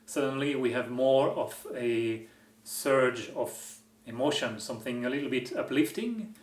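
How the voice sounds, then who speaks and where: A man's voice: medium pitch, unhurried, echoey room. A man with a French accent, hosting a music-making vlog and explaining his work with wry, self-deprecating humor. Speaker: musician and video host. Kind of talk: casual explanatory vlog narration.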